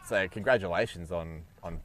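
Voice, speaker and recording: A man commentating a cricket match live, chatty and quick to laugh. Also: deep voice. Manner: chatty, laughs easily, many restarts.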